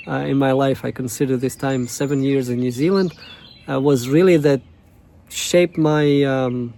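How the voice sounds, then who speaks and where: Soft-voiced man with a tongue. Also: medium pitch, gravelly. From Russia, Novosibirsk. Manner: halting, many fillers and restarts. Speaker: coach. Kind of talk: casual self-introduction monologue.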